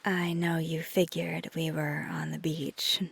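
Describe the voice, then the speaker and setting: A woman acts out a tender, flirty vampire woman in an intimate ASMR roleplay. Her voice is very breathy and often sinks into a whisper, with a crackly fry at the edges. She speaks slowly and gently, caring and a little wistful. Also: low voice, flat delivery, exaggerated voice. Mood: playful, flirty. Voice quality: soft, breathy, mostly whispered. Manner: slow, gentle, hesitant, teasing.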